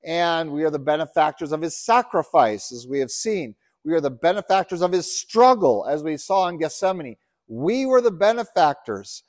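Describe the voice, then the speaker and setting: Middle-aged pastor from Uganda, earnest preacher, slightly high voice. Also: male voice, animated, moderate pace, no room sound, studio quality. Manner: earnest, builds to loud emphatic scripture reading. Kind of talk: sermon. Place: church.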